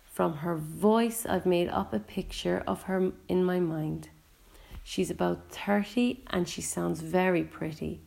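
Woman being a blunt chatty kid telling his story, bit sarky, mellow and low. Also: steady tone, slow pace, gravelly, heavily acted. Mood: chatty, fond. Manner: chatty, colloquial inner monologue. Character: bedridden young boy, sardonic, frank and quick to anger. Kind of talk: first-person audiobook narration.

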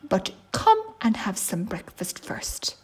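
A motherly mama bear acted out by a woman storyteller, kindly but firm. Her voice is medium-pitched and husky, in a simple children's storybook style. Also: wildly swooping pitch, takes it slowly, a little mellow, whispered, full character voice.

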